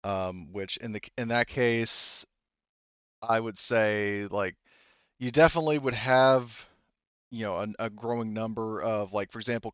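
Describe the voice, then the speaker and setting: A man's voice: medium pitch, smooth, no echo, lo-fi sound. A man casually improvising narration as a tabletop game master, conversational and thinking aloud as he goes.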